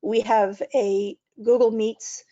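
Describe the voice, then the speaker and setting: A woman's voice: fairly high pitch, flat delivery, takes it slowly, dark tone. A woman speaking conversationally as a board member posing a question in an online meeting. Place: remote online meeting call. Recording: dull video meeting audio.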